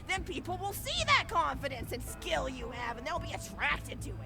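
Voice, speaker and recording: Sweet, animated old granny. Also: female voice, a little high. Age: older. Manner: rambling, gushing, folksy. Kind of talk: animated video game storytelling. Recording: game music behind the voice. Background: background music.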